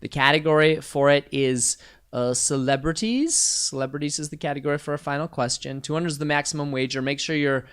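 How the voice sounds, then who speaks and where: A man's voice: on the high side, fairly quick, a bit bright, clear, resonant tone. Male quiz host, casual, reads questions and scores with dry asides. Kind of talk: live-streamed quiz night banter.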